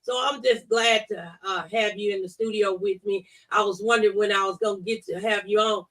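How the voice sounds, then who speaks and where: A woman's voice: medium pitch, fairly even intonation, fairly quick, fairly bright tone, low-quality recording. A woman hosting a radio talk show in a studio, chatting casually and chiming in with agreement. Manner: affirming, interjecting agreement.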